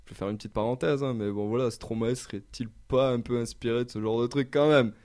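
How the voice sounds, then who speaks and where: A man's voice: mid-range voice, fairly even intonation, fast, darkish tone. A man hosting a radio music show, chatting casually between songs and often hesitating with fillers and restarts. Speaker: radio host.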